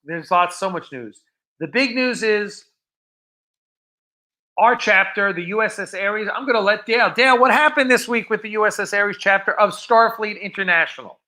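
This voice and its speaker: Bright-voiced chatty man hosting a livestream. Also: sits high, moderate pace. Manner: jokey, chatty. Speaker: livestream host. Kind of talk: casual live chat.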